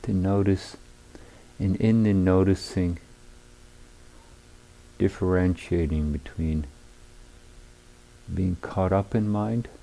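A man leads a guided meditation in a low voice with a gravelly fry. He speaks very slowly, in short, measured phrases separated by long silences. His delivery is that of a teacher giving sparse instruction. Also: fairly even intonation, dark tone, poor audio. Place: meditation retreat hall.

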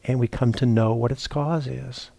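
A man teaching a guided meditation, speaking in a halting, reflective way as he searches for words. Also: mid-range voice, steady tone, gravelly, dark tone, no echo. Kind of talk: guided meditation talk, unscripted. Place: meditation retreat center, indoors.

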